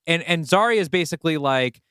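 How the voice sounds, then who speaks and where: A man's voice: on the high side, animated, a little fast, fairly bright tone, smooth, dry recording, studio quality. A man co-hosting a podcast, casually brainstorming story ideas in a tentative, thinking-aloud way. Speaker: podcast co-host and writer. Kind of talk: casual creative brainstorming talk.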